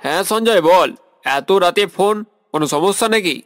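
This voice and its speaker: A man acting a young man, somewhat high in pitch, questioning and theatrical as the doubtful friend in a cartoon ghost story. Faint music plays beneath him. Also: normal speed, close to an everyday voice. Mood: doubtful, puzzled. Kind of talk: dramatic animated horror story acting.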